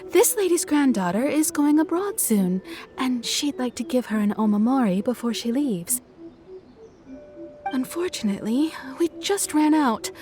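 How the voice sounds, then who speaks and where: High-voiced, earnest shrine attendant. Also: female voice, lively intonation, a little slow, darkish tone. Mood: relieved, polite. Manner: polite, eager to help. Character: earnest, courteous young female shrine attendant. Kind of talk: video game voice acting.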